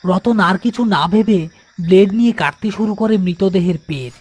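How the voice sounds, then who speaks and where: A man's voice: high voice, animated, moderate pace, darkish tone, slight background noise. A man narrating a scripted horror cartoon as a storyteller.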